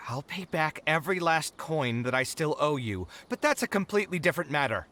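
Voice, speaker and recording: High, flustered young idealist. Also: male voice, brisk, noisy background. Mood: indignant. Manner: expressive, exasperated, earnest. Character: idealistic, dramatic, easily flustered young male architect. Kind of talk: video game character acting.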